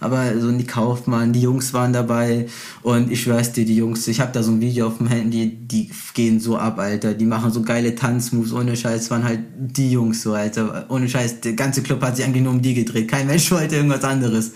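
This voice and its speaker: A man's voice: medium pitch, little pitch movement, a little fast, darkish tone. A young man with a Brazilian background chats casually, telling anecdotes in a slangy, boastful, unfiltered way. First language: Portuguese. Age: young adult.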